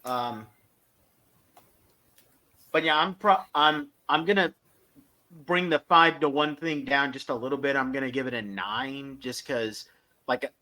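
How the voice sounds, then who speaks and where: A man's voice: mid-range voice, a little slow, pauses often, sharp tone. A man co-hosting a podcast, giving an enthusiastic, opinionated fan review in a casual discussion.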